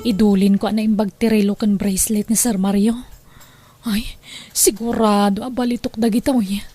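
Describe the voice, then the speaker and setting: A woman's voice: medium pitch, steady tone, moderate pace, music in the background, natural voice. A woman acting in an Ilocano radio drama as a sly, chatty young housemaid, with an Ilocano accent. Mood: sly, scheming. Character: sly young housemaid who pilfers from her employers. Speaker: drama actor.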